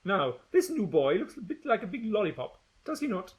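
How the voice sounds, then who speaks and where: Guy putting on a high prissy schoolgirl bully voice, sneery and posh, swings way up and down. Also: big pitch swings, a little fast. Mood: sneering. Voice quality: prim, haughty, over-polite.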